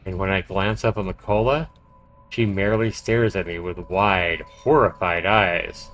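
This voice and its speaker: A man's voice: low-pitched, steady tone, unhurried, fairly bright tone, soft background music, poor audio. A man livestreaming a horror visual novel, reading it aloud dramatically and chatting casually about it. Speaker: livestreamer playing and narrating a game.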